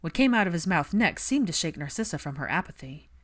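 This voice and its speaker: Low-pitched woman narrating a story. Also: big pitch swings, fast. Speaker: audiobook narrator of fan fiction.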